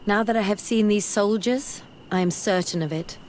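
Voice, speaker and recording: An actress playing an earnest, bookish young female scholar in a video game, speaking in a measured, articulate way. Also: medium pitch, a little hiss or hum, lightly acted. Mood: troubled, ashamed. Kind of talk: video game dialogue acting. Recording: music behind the voice.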